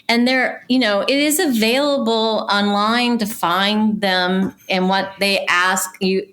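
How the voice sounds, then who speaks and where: A woman speaks casually about her trade. Her voice is mid-pitched, and she explains things conversationally, like a casting director chatting in an interview. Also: fairly bright tone. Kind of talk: casual shop talk.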